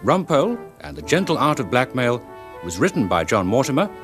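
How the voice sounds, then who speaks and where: A man's voice: mid-range voice, big pitch swings, fairly quick. A man reading scripted closing credits as a radio announcer. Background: music.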